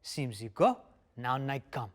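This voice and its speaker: A man's voice: mid-range voice, lively intonation, measured pace, lightly acted. Man acting a wild, volatile forest beast, swooping voice. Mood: suspicious, aggressive. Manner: muttering broken pidgin. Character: huge wiry-haired forest beast, volatile, muttering, childlike, suddenly jovial.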